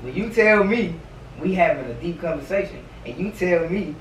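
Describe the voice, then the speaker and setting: A young man speaks in a high voice with wide swings of pitch, pressing his point in halting, repeated slangy phrases. The casual outdoor chat is caught from afar, echoey and slightly noisy. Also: expressive. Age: young adult. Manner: insistent, halting, repetitive, slangy. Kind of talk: casual debate among friends. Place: outdoor patio at night. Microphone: far camera. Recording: roomy, distant, faint background noise.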